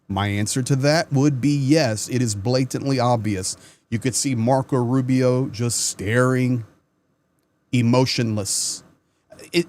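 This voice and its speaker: A man talks straight to his viewers as the host of his own commentary channel. He is earnest and conversational, and sometimes repeats a word as he starts a sentence. His voice sits at an ordinary middle pitch. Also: a little mellow. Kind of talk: casual news commentary to camera.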